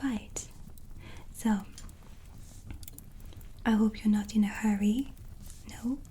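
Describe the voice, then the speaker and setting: Slow, whispery gentle witch played by a woman. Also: mid-range voice, fairly even intonation. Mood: warm, soothing. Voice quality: soft, breathy, near-whisper. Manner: slow, hesitant, soothing. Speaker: ASMR roleplay performer. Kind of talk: soft-spoken ASMR roleplay. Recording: soft music behind. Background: relaxing music and ambience.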